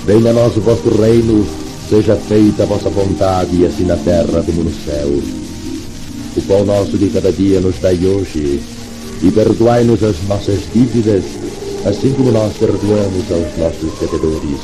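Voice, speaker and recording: A man with a Brazilian accent solemnly reciting prayer as a 1950s radio announcer, formal and reverent, on an old 78rpm disc. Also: sits low. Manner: formal, reverent, measured oratory. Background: music, record crackle.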